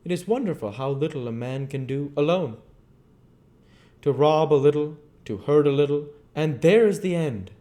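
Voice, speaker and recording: Guy doing invisible madman from a storybook, raised loud voice, fanatical and power hungry, really declaiming. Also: a little high, lively intonation, normal speed, pitched above the performer's own voice, lightly acted. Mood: scheming, intense. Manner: emphatic, declamatory, eager. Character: Invisible Man, fanatical megalomaniac scheming a reign of terror.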